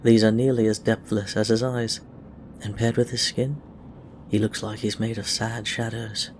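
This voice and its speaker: A man with a fairly low voice reads fiction aloud in a scripted narrative style. He sounds like an audiobook reader, and there is clear noise in the recording behind him. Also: flat delivery, normal speed.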